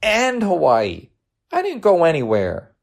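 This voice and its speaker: Storybook kid played by a male narrator: young boy, downcast and protesting, raised pitch, wide expressive swings. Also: measured pace, creaky, full character voice. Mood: glum, worried. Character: glum young schoolboy, anxious, sulky, normally talkative.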